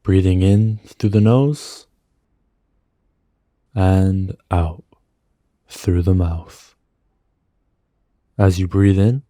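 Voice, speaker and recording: A man narrating a guided meditation in a soothing, gentle manner, with measured phrases and pauses, close on the mic. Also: sits low, dry recording.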